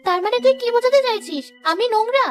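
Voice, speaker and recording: A quick, high-pitched, sharp-tongued stepmother, played by a woman, sarcastic and scolding. The delivery is cartoon-dub fast, with music behind. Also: expressive. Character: harsh scolding stepmother, middle-aged woman. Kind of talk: cartoon dubbing.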